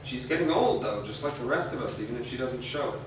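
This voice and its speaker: A man's voice: mid-range voice, lively intonation, fast talker, lightly acted. A man playing a weary, remorseful aging father in a staged reading of a drama. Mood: weary, confessional. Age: older. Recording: echoey room, distant mic, faint noise.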